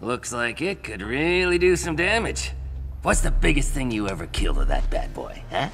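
An actor playing a small, brash, wisecracking raccoon mercenary in a comic video game role. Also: male voice, medium pitch, very animated, moderate pace, a bit bright, in a large hall, faint music behind. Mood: nosy teasing. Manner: brash, flippant.

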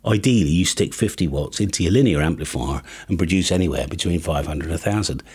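Lively low-voiced British man. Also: fast talker, husky. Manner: tongue-in-cheek, chatty, animated. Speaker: YouTube ham radio presenter. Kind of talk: comic monologue to camera, mock advice. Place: small home studio with acoustic panels. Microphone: studio microphone on boom arm.

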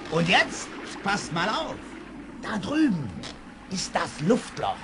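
A man's voice: high-pitched, huge pitch swings, slow, heavily acted. An actor playing a boatman guide who tells spooky tales with dramatic suspense in a German children's audio drama. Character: male boatman guide, teasing spooky storyteller. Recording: noisy vintage radio play with ambience.

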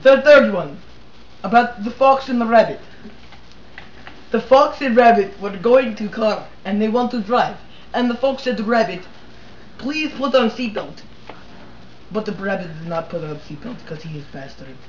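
A man voicing a crude storyteller with a put-on Russian accent and broken English, shouting out the lines of his characters. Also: high-pitched, expressive, moderate pace, long pauses, a little hiss or hum. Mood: gleeful. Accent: mock Russian. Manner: bursts into shouting for quoted characters. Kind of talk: comedic amateur storytelling. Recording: echoey lo-fi amateur recording.

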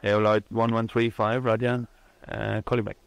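A man's voice: lowish voice, moderate pace, creaky, no room sound. A man working as an air traffic controller, giving clipped, procedural radio instructions to pilots. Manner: flat, procedural.

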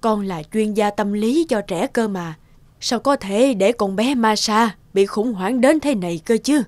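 A woman's voice: medium pitch, measured pace, darkish tone, dry recording, close to an everyday voice. A woman voicing a fretful, reproachful elderly grandmother in a cartoon. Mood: worried, reproachful. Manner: fussing, reproachful. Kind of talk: cartoon dubbing.

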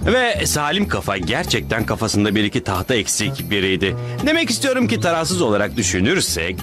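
A man's voice: lowish voice, wildly swooping pitch, fairly quick, over music. A man playing a big, goofy, dim-witted superhero in a dubbed cartoon, naive and bombastic, given to dramatic exclamations. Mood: musing. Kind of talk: dubbed cartoon hero acting.

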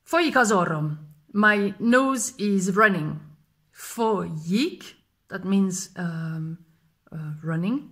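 A woman in her thirties teaching a language lesson to camera, repeating each phrase slowly and clearly, filmed close up indoors. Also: mid-range voice, lively intonation, fairly bright tone. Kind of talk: slow vocabulary lesson. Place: indoors in front of a plain gray wall. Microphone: close camera framing, face fills frame.

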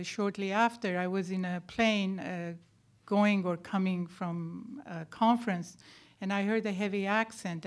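An older woman speaks at a panel in a lecture room, telling her own story as an academic. Her voice sits at a medium pitch for a woman. She talks deliberately and leans on key words for emphasis, speaking into a stand microphone on the table. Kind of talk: personal account at a panel talk.

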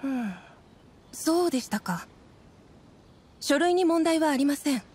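A woman's voice: high voice, animated, a little slow, darkish tone, slight background noise. A woman voicing a polite, formal female entry official in an anime game, with a businesslike manner. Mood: courteous.

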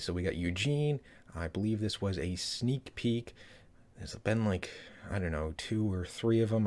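A man's voice: deep voice, a little mellow. A man in his thirties giving casual, rambling commentary on a trailer from a small room, with lots of fillers and restarts. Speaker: YouTube trailer reviewer.

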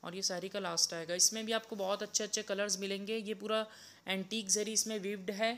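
A young man talks through a shop's saree stock in a sales showcase, his voice bright and smooth. His delivery stays flat and even as he repeats the same phrases item after item. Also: sits high. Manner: repetitive, steady walkthrough of each item. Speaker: saree seller. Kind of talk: product showcase sales pitch. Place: indoor saree shop.

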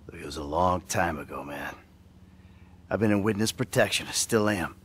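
A man's voice: deep voice, moderate pace, a bit bright, slightly rough. An actor playing a defensive, exasperated middle-aged retired criminal and father in a video game, sounding weary and irritable. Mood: defensive, awkward. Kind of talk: video game dramatic acting.

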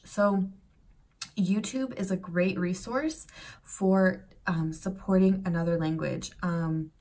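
A woman in her thirties, born in Serbia and raised in Canada and the United States, chatting casually to camera from a bright room at home, rambling with lots of fillers and asides. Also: mid-range voice. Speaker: vlogger.

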